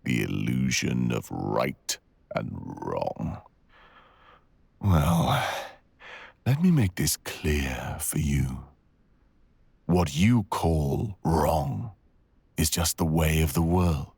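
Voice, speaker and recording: A man acts out a cruel, seductive dragon villain for an ASMR audio roleplay. The voice is extremely low and husky, crackling with fry, and drifts into whispers. It delivers mocking, predatory threats in an arrogant drawl, close and intimate. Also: sing-song, drawn-out words, long pauses, darkish tone, dry recording, exaggerated voice. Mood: cold, contemptuous. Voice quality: gravelly, breathy, sometimes whispering. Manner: menacing, mocking, teasing drawl.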